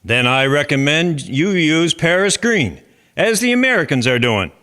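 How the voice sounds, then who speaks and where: A man's voice: on the high side, big pitch swings, normal speed, pushed and bright. A man playing an earnest chairman of a farmers' agricultural society in a historical drama, speaking formally and instructively.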